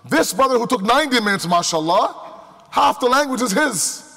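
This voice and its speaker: High-voiced male preacher in a reverberant hall. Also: expressive, a little fast, vocal fry, sharp tone. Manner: emphatic, with rhetorical questions and repetition. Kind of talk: sermon to a live congregation. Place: large indoor hall before a crowd. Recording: echoey hall with long reverb.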